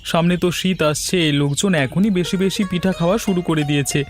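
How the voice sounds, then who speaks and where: A man's voice: high-pitched, brisk, close to an everyday voice. A man voicing a polite, eager-to-please village cake seller in a cartoon fairy tale. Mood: obliging, cheerful. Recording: music under the voice.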